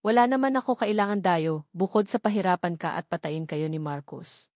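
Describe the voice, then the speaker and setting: A woman's voice: fairly low pitch, brisk, a little mellow, no room sound, studio quality, close to an everyday voice. A woman voicing a cruel, jealous female kidnapper in a story reading, taunting and menacing.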